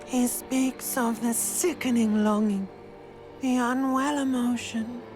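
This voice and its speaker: An eerie voice from inside the mind, fairly high and unhurried. It insinuates and needles with theatrical cruelty over clearly audible music. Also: female voice, fairly even intonation. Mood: sinister relish. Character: eerie limbic-system voice, sly, needling, knowingly cruel. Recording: music behind the voice.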